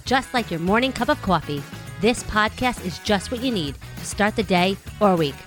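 A woman's voice: mid-range voice, animated, normal speed, faint music behind. A woman hosting a podcast who works as a speech-language pathologist, reading a scripted intro and then chatting in a welcoming way with her guest. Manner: upbeat, welcoming.

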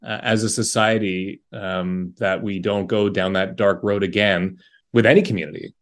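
A man hosting a discussion, speaking in a conversational, halting and reflective way. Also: on the low side, fairly even intonation. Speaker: discussion host. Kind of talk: conversational interviewing.

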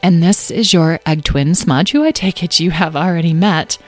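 A woman acts out a proud mother creature from a storybook. She is crisp, strained and commanding, with a forceful edge. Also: on the low side, moderate pace, faint music behind, lightly acted. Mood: careful, formal.

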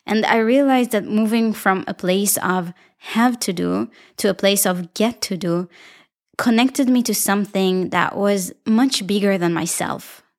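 Israeli woman, young adult, solo podcast host and dancer, reflective and upbeat, speaks warmly to her listeners, medium pitch.

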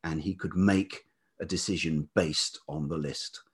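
A man's voice: deep voice, fairly even intonation, unhurried, breathy edge. An older man, telling personal stories reflectively as an interview guest, in a hesitant, thoughtful way. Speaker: podcast guest, writer and musician.

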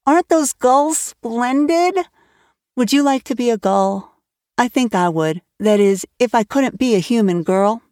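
A woman's voice: on the high side, lively intonation, unhurried, a little mellow, dry recording, studio quality, pitched above the performer's own voice. A woman voicing an eager, chatty orphan girl who gushes in a breathless, wide-eyed way. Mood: rapturous.

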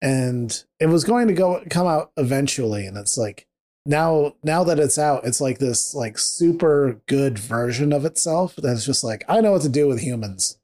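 Mellow-toned co-host, rambles and jokes through a casual book chat. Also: medium pitch, animated, moderate pace. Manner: rambling, joking, many fillers and restarts. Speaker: podcast co-host.

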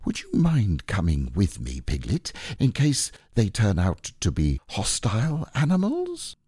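A man voicing an earnest, puzzled toy bear who muses in a slow, deliberate way, as a storybook character. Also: lowish voice, huge pitch swings, warm tone, husky, no echo, studio quality, heavily acted. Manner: ponderous, deliberate, musing. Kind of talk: children's storybook character reading.

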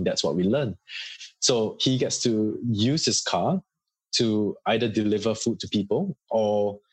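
A Singaporean man speaks in a fairly low, husky voice as an interview guest. He explains things earnestly and conversationally, with examples, in a dry, close sound. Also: no room sound.

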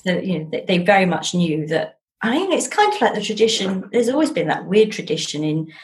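A woman's voice: mid-range voice, very animated, fairly quick. A middle-aged woman with an English accent, talking casually and enthusiastically as an interview guest, with emphatic turns of phrase. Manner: chatty and enthusiastic, piling on emphatic words. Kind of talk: casual, jolly conversation.

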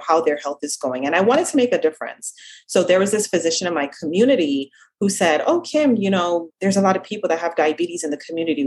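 Brief: husky, low-pitched woman with a slight Jamaican accent, chatting as an interview guest. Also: rough recording. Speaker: dietitian guest. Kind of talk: casual interview talk.

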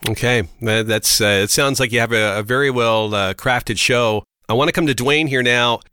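A man hosting an interview, friendly, pausing and restarting his questions. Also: mid-range voice, bright, forceful tone, clear, resonant tone, dry recording. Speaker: interview host. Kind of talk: casual interview.

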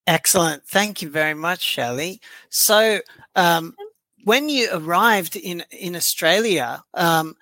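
A man hosts a podcast interview with a gravelly, creaky voice and a bright, forward tone. The recording is close and dry. He keeps things friendly, with plenty of ums and small restarts. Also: high voice, slow, no echo. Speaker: podcast host.

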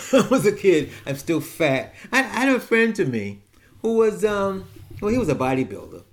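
A man's voice: high-pitched, big pitch swings, moderate pace. A man, talking frankly and rambling through a casual personal monologue with many restarts. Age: 70s. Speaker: podcast host.

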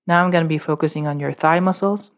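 A woman with a low voice leads a muscle relaxation meditation. She gives calm, unscripted instructions, with loose fillers and repeated cues. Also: quick pace. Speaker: meditation guide.

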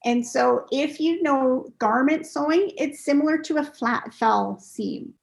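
Woman podcast guest, higher voice with a lot of fry, patient step-by-step explainer. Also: a little slow. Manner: explains in steps, patient and clear. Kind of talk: casual interview talk.

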